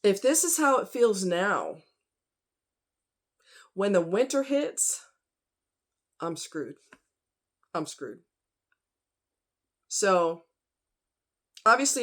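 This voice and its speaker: A woman vlogger talking casually and directly to her viewers in a folksy, conversational, emphatic way. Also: lowish voice.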